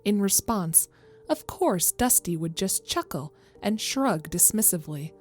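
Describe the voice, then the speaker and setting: A woman's voice: medium pitch, lively intonation, measured pace, darkish tone, faint music behind. A woman narrating a scripted horror story as its storyteller.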